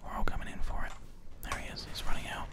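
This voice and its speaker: A gaming host delivers ASMR commentary in a steady whisper, very close to a binaural mic. The voice stays hushed and breathy throughout, with keyboard and mouse clicks behind it. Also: quick pace. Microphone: close binaural microphone.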